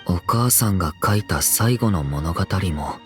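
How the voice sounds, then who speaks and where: A woman voicing a gentle, earnest young boy in a fantasy game, soft-hearted and expressive. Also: a bass voice, brisk, soft background music. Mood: gentle, wistful. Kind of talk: Japanese game voice acting.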